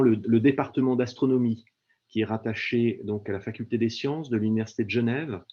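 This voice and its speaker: A man's voice: mid-range voice, warm tone. Hesitant man presenting over dull call audio. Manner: hesitant, many fillers and repeated words. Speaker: astronomy lecturer presenting his department. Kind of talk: online info-session presentation. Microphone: video call.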